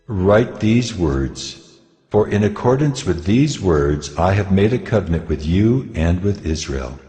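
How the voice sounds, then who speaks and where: An actor playing a solemn, commanding God in dramatized scripture audio, with a stern, authoritative, declamatory delivery. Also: male voice, low-pitched, lively intonation, unhurried, mellow. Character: God of Israel, solemn commanding male deity giving law. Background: music.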